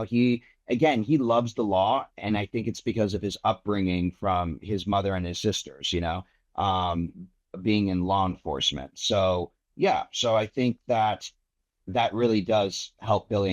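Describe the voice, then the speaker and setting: A man's voice: on the low side, a little mellow. A young man from Miami, an actor giving earnest interview answers with many fillers and restarts. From Miami, United States.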